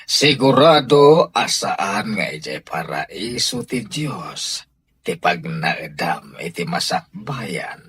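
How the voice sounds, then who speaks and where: A man's voice: on the low side, sing-song, slow, fairly bright tone, husky, exaggerated voice. A man with an Ilocano accent playing a firm, sanctimonious husband and head of household in a radio drama. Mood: preachy.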